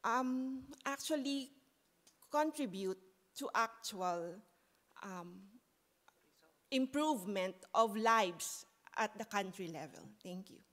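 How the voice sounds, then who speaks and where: Higher-pitched woman speaking slowly in hall. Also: lively intonation, a bit bright. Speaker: audience questioner. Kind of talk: read-out question at a conference. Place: large conference hall, at lectern. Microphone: lectern microphone. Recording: echoing hall amplification.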